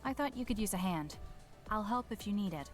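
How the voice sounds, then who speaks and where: Reserved young heroine acted by a woman: medium pitch, blunt, deadpan, video game dub. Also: a little fast, faint music behind, natural voice. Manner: deadpan, understated.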